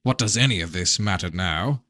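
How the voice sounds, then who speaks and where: Man voicing a measured, scheming fantasy prince, low-voiced. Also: sharp tone, no room sound. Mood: coolly unrepentant. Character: evasive, calculating prince, scheming and unrepentant. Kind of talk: dramatised novel reading.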